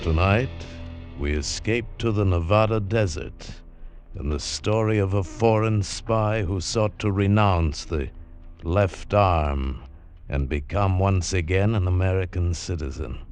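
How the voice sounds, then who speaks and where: A man announcing an old radio drama in a solemn, deliberate, dramatic way. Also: low-pitched, darkish tone, faint music behind.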